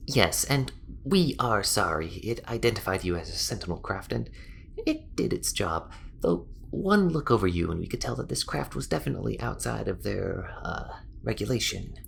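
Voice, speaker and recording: Man playing earnest gravelly alien defender. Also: lowish voice, very animated, normal speed, slight background noise, heavily acted. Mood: apologetic. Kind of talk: audio roleplay acting.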